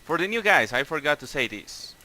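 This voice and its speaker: Bright-voiced Mexican-accented man, raid leader. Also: fairly high pitch, big pitch swings, a little fast. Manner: casual, profane, many fillers and restarts. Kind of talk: explaining boss tactics over voice chat.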